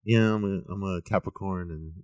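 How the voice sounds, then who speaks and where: A man's voice: deep voice, normal speed, a little mellow, dry recording, studio quality. A man in his late twenties co-hosting a podcast in casual chat, laughing often and swearing freely as he rambles. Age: 20s. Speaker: podcast co-host.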